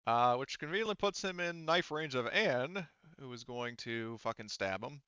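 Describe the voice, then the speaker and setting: A man narrating a tabletop game as game master, casually and haltingly, with some swearing. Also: mid-range voice, lively intonation, sharp tone, clean tone, dry recording, dull audio. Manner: halting, profane.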